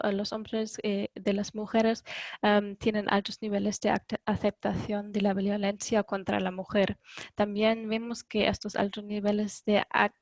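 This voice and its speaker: A woman giving a webinar presentation in Spanish, which is not her first language, pausing often with fillers and correcting herself. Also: mid-range voice, darkish tone, no room sound. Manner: hesitant, many ums and self-corrections.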